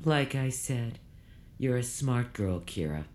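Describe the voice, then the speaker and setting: An actress playing a stern, wry, unyielding female mentor in an audio drama, with a cutting, measured manner. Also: a bass voice, expressive, a little mellow, exaggerated voice. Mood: stern, disappointed. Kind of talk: audio fiction drama acting.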